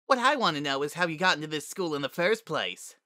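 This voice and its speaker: A man's voice: fairly high pitch, animated, fast, sharp tone, dry recording, studio quality, pitched above the performer's own voice. Man playing a sneering, raised-voice unicorn bully. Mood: mocking. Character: sneering schoolyard bully unicorn, mocking and haughty.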